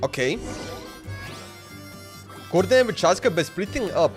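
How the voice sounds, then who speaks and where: A man giving casual live commentary while gaming, halting and repeating words as he goes. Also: high-pitched, big pitch swings. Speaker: game livestreamer. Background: faint game music.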